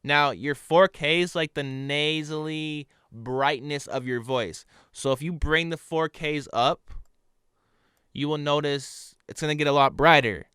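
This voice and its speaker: A man with a nasal voice who hosts a casual software tutorial in a relaxed, loose way, with fillers and offhand asides. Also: mid-range voice, clear, resonant tone, no echo, studio quality. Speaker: audio tutorial host. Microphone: studio condenser microphone.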